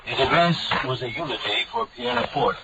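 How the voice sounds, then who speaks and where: A man, a news anchor, introducing reports in a crisp, formal way. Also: medium pitch, normal speed. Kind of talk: news presenting. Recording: lo-fi, noisy shortwave.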